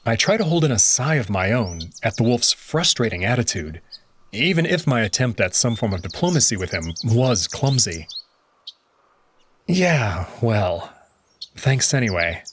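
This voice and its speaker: A man's voice: mid-range voice, sing-song, a little slow, fairly bright tone, a little hiss or hum. A man giving reflective first-person narration of a visual novel, voicing its lead character.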